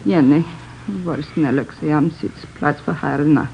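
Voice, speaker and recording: A woman playing a shaken, weary middle-aged Swedish immigrant mother in a 1950s radio drama, speaking halting, polite English with a Swedish accent. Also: low voice, vocal fry. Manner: halting, simple non-native phrasing. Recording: muffled old noisy radio recording.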